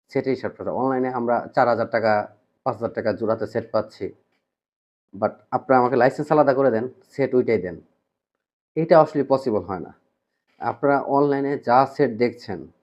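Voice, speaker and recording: A man's voice: medium pitch, darkish tone. Bangladeshi man explaining, in dull lo-fi audio. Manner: conversational, repetitive, mixes in English words. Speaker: shop representative presenting to customers. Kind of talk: informal explainer to camera.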